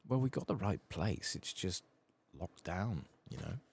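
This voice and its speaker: Male ASMR game streamer: husky, soft and slow, half-whispered musing with varied intonation. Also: low-pitched, big pitch swings. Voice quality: soft-spoken, often dropping to a whisper. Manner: unhurried, murmured musing to himself. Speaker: gaming streamer, ASMR channel host.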